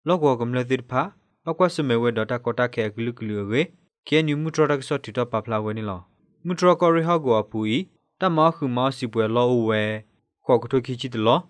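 A man's voice: medium pitch, normal speed, no echo. A man reading scripted radio news. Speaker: news reader.

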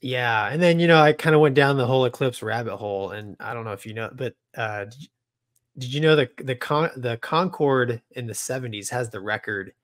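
A man's voice: medium pitch, fairly quick. An American man in his forties chatting casually and informally as a podcast co-host. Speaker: podcast co-host, military pilot. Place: small home studio room. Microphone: close studio microphone with headphones.

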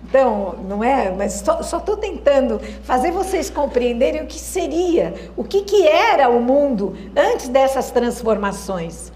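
A woman's voice: sits high, sing-song, unhurried, hardly any pauses, a little mellow, roomy sound. A woman with a Brazilian Portuguese accent giving a university lecture, thoughtful and searching for words, often asking the audience rhetorical questions. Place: lecture hall.

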